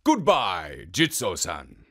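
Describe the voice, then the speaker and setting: Storyteller doing a gruff, loud storybook ogre, slow, wide swings. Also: sits low, wildly swooping pitch, pitched below the performer's own voice, exaggerated voice. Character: hungry male ogre, gruff and sniffing.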